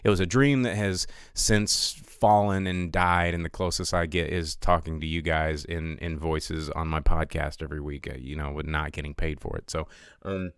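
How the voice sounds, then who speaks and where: A man's voice: rumbling low, fairly even intonation, normal speed, smooth, dry recording, clean studio sound. A man hosting a podcast, talking casually in a rambling, emphatic, exasperated rant. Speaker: podcast host.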